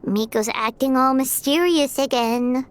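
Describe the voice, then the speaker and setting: A woman voicing a tiny, eager, childlike fairy girl in an English video game, perky and squeaky. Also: a little slow, slight background noise. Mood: curious, puzzled. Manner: squeaky, perky, refers to herself by name.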